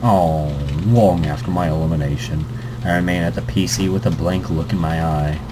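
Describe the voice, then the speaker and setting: A man's voice: sits low, moderate pace, noisy background. A young man hosting a let's play, reading a visual novel aloud with joking asides and fillers.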